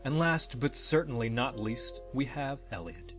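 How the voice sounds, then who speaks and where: A man playing a genial, grandiose troupe leader, narrating a fantasy audio drama in a measured, showman-like way. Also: medium pitch, lively intonation, natural voice. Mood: grand welcome. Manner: measured, showman-like, formal phrasing. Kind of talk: dramatic fantasy storytelling to an audience. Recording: music under the voice. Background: background music.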